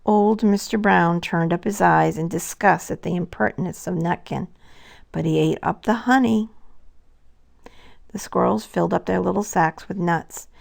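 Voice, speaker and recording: A woman's voice: lowish voice, moderate pace, creaky, a little mellow. A woman narrating a scripted children's story as a storybook reader.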